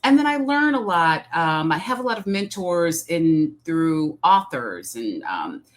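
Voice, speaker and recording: A middle-aged woman from Detroit with a Midwestern accent, speaking as an interview guest in a measured, reflective way. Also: sits low, very animated. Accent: Midwestern American. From Detroit, Michigan. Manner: measured, reflective, many um fillers. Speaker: guest, executive coach. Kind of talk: interview conversation.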